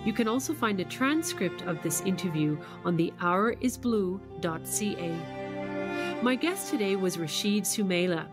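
A woman's voice: medium pitch, animated, unhurried, music in the background. A woman hosting a radio interview show, speaking to guest and listeners. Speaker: radio host.

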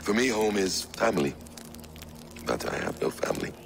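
Casting: brooding warrior assassin, low creaky voice, solemn and measured, video game cutscene. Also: male voice, huge pitch swings, noisy background, heavily acted. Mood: wistful. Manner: measured, solemn, sparing with words. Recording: loud game music behind.

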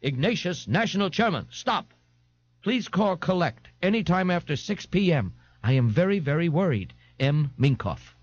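A man's voice: a little high, very animated, moderate pace, breathy edge, muffled recording, pitched above the performer's own voice. A man voicing an earnest, worried woman's written messages in an audiobook, clipped and declaiming. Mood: urgent. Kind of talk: audiobook character voice.

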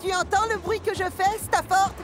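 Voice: extremely high pitch, fairly even intonation, moderate pace, loud music behind the voice. An actor voicing a friendly, encouraging young male steam engine in a children's cartoon dub.

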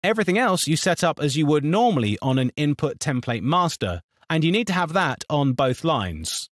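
A man narrating a scripted software tutorial in an instructional, step-by-step manner. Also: on the high side, very animated, moderate pace, no room sound, studio quality.

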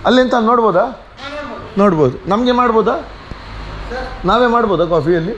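A man's voice: sits high, animated, fast talker, a bit bright, lo-fi sound, slight background noise. A man in his forties vlogging a road trip, chatting casually and laughing easily as he films on a handheld camera. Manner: chatty, mixes in English and Kannada, laughs easily. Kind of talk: casual travel vlog. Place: car at night, then a cafe.

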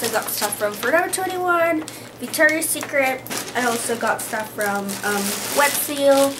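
Chatty high-voiced young woman vlogging. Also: noisy background. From Utah, USA. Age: young adult. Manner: chatty, lots of "like" and "um", excitable. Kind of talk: casual vlog chat. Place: in a car, then a bedroom. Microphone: handheld camera at arm's length.

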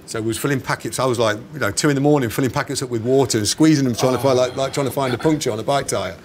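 English man, forties, hesitant conversational interviewee, mid-pitched, recorded in a bar with background noise. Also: very fast. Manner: hesitant, chatty, self-correcting. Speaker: snack company founder being interviewed. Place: indoors in a bar. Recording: clear background ambience noise.

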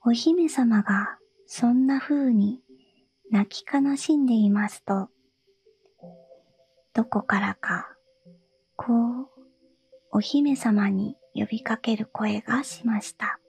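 A woman reading a fairy tale aloud in a soft, breathy whisper. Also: fairly high pitch, takes it slowly, long pauses, poor audio.

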